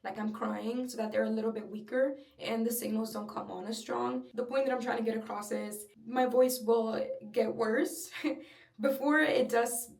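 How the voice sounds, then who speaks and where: A young woman with a slightly breathy voice, chatting openly and personally in a casual home vlog. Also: on the high side, steady tone, normal speed, far from the mic. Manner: chatty, filler-heavy, open and personal. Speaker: vlogger. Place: at home, in her room. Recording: roomy echo, a little off-mic.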